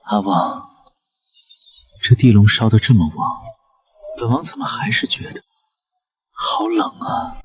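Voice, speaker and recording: A man playing a ruthless, secretly devoted young prince, commanding and intense, in a period animated drama. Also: lowish voice, a little slow, pauses often, slightly rough, heavily acted. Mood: brooding.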